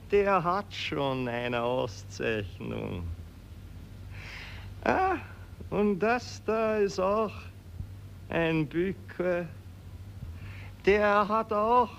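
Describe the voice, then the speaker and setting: An actor playing a dim, doddering archduke with an Austrian accent, halting and vacant, in a 1940s radio play. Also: male voice, sits high, gravelly, exaggerated voice. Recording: faint hiss, old 1940s radio recording.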